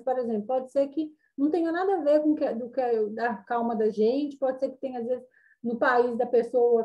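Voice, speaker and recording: A woman's voice: sits high, warm tone. A Brazilian woman teacher giving an online class, chatty and explaining with many fillers over a video call.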